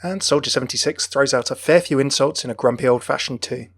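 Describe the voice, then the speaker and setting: A man's voice: medium pitch, quick pace, a bit bright. A man narrating a scripted video about the lore and backstory of a game character.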